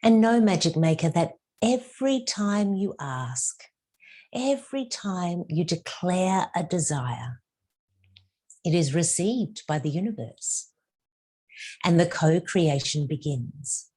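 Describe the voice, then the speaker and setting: A woman leading a guided meditation as a spiritual teacher, speaking in a soft, soothing way with drawn-out phrases and some hushed, whispered words. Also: fairly low pitch, lively intonation, husky.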